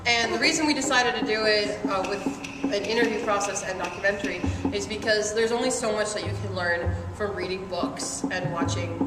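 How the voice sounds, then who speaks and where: A woman narrating the introduction of a student documentary in an earnest, explanatory way. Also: mid-range voice. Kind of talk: scripted voice-over introduction. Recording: echoey, reverberant voice-over over loud music.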